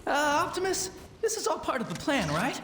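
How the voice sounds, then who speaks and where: Anxious eager robot scout for a game, high and lively, distant from the mic. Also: male voice, a little fast, noisy background, full character voice. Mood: nervous. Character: nervous, excitable little robot scout. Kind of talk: video game cutscene acting. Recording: distant, echoing hall, loud music behind.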